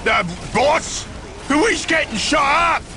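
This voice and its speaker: A man voicing a dim, excitable ork underling in a video game, raspy and growling with a Cockney accent. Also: very high voice, slow, loud music behind the voice, heavily acted. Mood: dim, eager. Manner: yelling crudely. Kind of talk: video game character acting.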